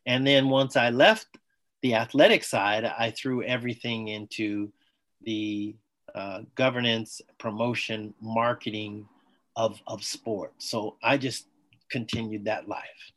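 A man, a former athlete, talking as a guest on an interview podcast, telling his story with enthusiasm in quick bursts. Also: fairly low pitch, steady tone, a bit bright. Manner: enthusiastic, talks in bursts, acts out quoted dialogue.

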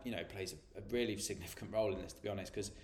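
A man's voice: sits low, rapid-fire, darkish tone, echoey room. Chatty man with a stuffy cold. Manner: chatty, explains things plainly and informally. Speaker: health and nutrition coach. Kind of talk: solo podcast monologue.

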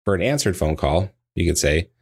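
A man narrating a scripted training lesson in a measured, explanatory way, as if reading from prepared text. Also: low-pitched, expressive, husky. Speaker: dental practice trainer.

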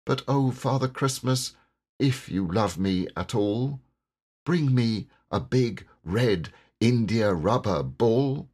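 A man's voice: medium pitch, lively intonation, slow pace, a little mellow, slightly rough. A man voicing a lonely, hopeful, petulant storybook king with a plaintive, emphatic, childlike way of wishing. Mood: anxious, wistful.

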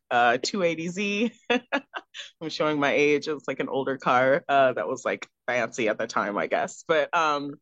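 A woman's voice: extremely low pitch, a bit bright, no room sound. Woman from texas chatting as a guest, thoughtful, laughs a bit, muffled dry sound. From Texas, USA. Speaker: guest, pro wrestler. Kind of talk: casual interview chat.

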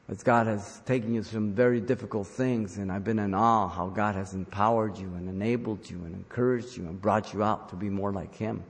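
A man's voice: on the low side, a little mellow. An older man preaching a sermon as a pastor, earnest and exhorting, repeating phrases for emphasis. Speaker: pastor preaching to his congregation. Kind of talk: sermon with scripture reading. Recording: long hall reverb.

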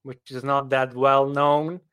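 Slow, halting Swiss German man. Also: mid-range voice, flat delivery, a bit bright, clear, resonant tone. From Switzerland. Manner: halting, with frequent restarts and "right?" tags. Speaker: podcast guest. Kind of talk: casual interview chat.

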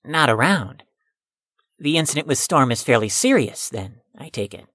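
A woman voices a bookish, careful youngster in an audio drama. The voice is low yet expressive, with precise formal wording and dry wit, captured with no room sound. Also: moderate pace, no echo, full character voice. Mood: measured. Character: cautious, bookish, formal-spoken young student Grayson.

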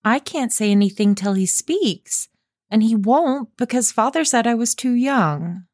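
A woman voicing a gentle, shy young woman with a soft, prim, earnest manner. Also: a little high, animated, unhurried, no echo, clean studio sound, voice pushed up. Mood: protesting.

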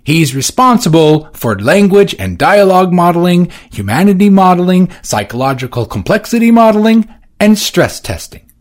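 A man voicing a polite, careful, formal male manager at a higher pitch than his narration. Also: very animated, normal speed.

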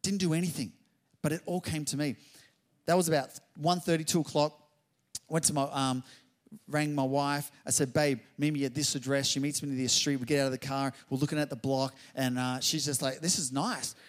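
A middle-aged man delivers a sermon in a slightly raised voice. He tells his story in a lively, conversational way. He then swells into loud, fervent exclamations. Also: a little high, a little fast. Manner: animated, builds to loud exclamations. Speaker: pastor.

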